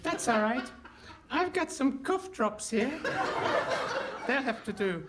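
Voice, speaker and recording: A high-voiced, earnest boy scout, acted by a woman in a comic radio play. The delivery is plaintive and halting, swooping up and down, with clear noise behind it from the live recording. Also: lively intonation. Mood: indignant, earnest. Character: naive, indignant boy scout, squeaky and earnest. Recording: noisy live hall stage recording.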